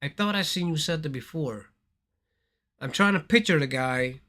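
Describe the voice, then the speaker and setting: A man's voice: on the high side, expressive, moderate pace, pushed and bright. A man from Thailand hosting a casual gaming livestream, rambling and repeating his words as he talks straight to his viewers.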